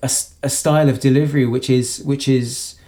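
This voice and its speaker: A singer-songwriter from the UK, talking in an interview about his singing, with a high voice and halting speech full of restarts. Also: steady tone, warm tone. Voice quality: self-described high voice. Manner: halting, many restarts and repeated words. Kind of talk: conversational interview.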